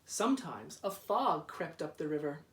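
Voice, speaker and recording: A man reading a children's storybook aloud in a deliberate, dramatic way, drawing out repeated words. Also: a little high, big pitch swings, fairly bright tone, slight background noise. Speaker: school principal reading aloud. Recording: roomy echo, distant microphone.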